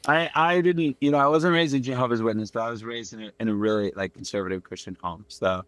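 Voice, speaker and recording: A man in his thirties chatting as a podcast guest over a remote call, animated and full of "like" and "dude". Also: mid-range voice, fast talker, dry recording. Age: 30s. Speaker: guest musician. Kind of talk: casual podcast chat.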